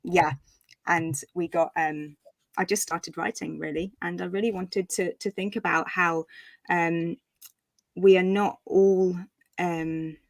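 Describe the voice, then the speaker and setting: A woman's voice: on the low side, steady tone, slow pace, gravelly, dry recording. A woman chatting casually about her writing as an author at a live online event, in a hesitant, giggly way. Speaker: author hosting online book launch. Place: live online video call.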